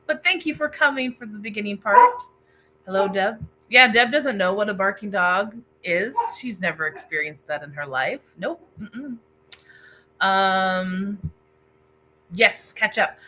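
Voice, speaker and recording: Female livestream host, bright and animated, excitable book chatter, faint noise behind. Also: mid-range voice, rough recording. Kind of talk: casual livestream chat, reading out viewer comments.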